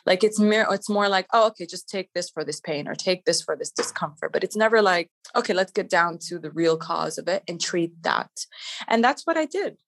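A woman's voice: fairly low pitch, expressive, brisk. A middle-aged woman from Canada, talking in an upbeat, chatty way as an interview guest, with lots of "like" and "you know". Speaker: guest entrepreneur. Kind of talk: casual interview talk.

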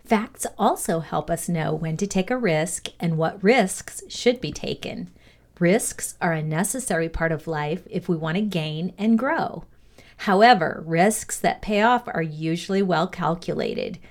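A woman reading scripted educational narration in a measured, deliberate way. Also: lowish voice, big pitch swings. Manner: measured, deliberate read-aloud delivery. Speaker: reader of a values lesson.

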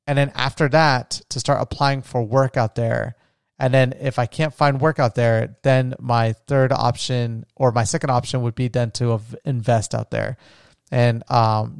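A young American man talks casually on his own podcast, musing and restarting his sentences as he goes. His voice comes through smooth and clear, recorded very dry and close with no room sound. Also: mid-range voice, a little mellow, no room sound. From United States. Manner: rambling, thinking aloud with many restarts. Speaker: podcast host. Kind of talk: casual solo podcast monologue.

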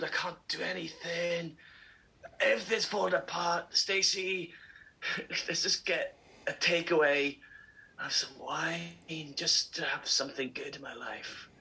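Bright-voiced chatty man. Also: on the high side, poor audio. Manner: chatty, animated storyteller, laughs often. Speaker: podcast co-host. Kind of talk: casual chat.

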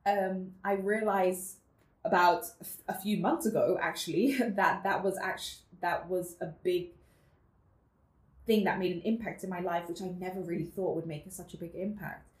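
A woman's voice: fairly low pitch, fairly even intonation, normal speed, roomy sound. A woman from the Netherlands in her twenties, a guest telling her life story in a casual interview, reflective, laughing often and using many fillers.

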